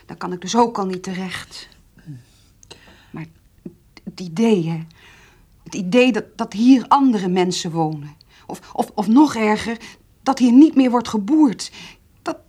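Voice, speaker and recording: An actress playing an anxious, stubborn middle-aged farmer's wife and mother, speaking haltingly with a husky, breathy voice in a radio drama. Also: on the high side, mellow. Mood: distressed. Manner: halting, repeating words, fretful.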